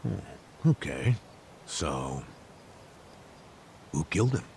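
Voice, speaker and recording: An actor playing an aging detective near retirement, sly and persistent, with a gravelly, teasing manner. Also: male voice, extremely low pitch, sing-song, extremely slow, darkish tone, a little hiss or hum, full character voice. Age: older. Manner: teasing, chuckling, prying. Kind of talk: dubbed game cutscene acting.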